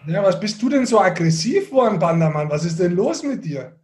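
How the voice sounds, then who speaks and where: A man's voice: high voice, lively intonation, a little fast, background noise. A man hosting a sports podcast, chatting casually with a teasing, sarcastic manner. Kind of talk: casual bantering podcast chat.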